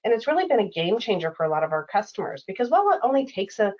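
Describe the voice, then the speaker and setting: A woman explaining a software product in a conversational style, as an expert from the company. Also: medium pitch, sing-song, very fast, lo-fi sound.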